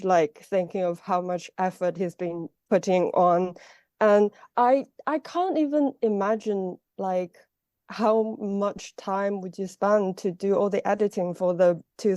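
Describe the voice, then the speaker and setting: Woman, Chinese accent, non-native English, slow, mellow, earnest, halting, dry sound. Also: fairly low pitch, smooth, no echo. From China. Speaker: guest translator. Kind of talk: casual chat.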